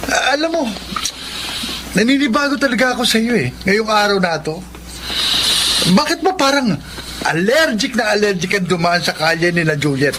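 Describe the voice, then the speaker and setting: Nosy young male coworker, very high voice, teasing; noisy radio drama tape. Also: expressive, moderate pace, long pauses.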